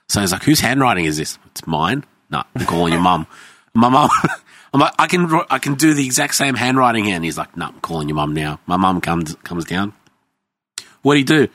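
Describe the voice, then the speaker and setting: A man's voice: fairly low pitch, a bit bright, no room sound. A man, telling rambling, swearing anecdotes in casual chat and acting out what people said.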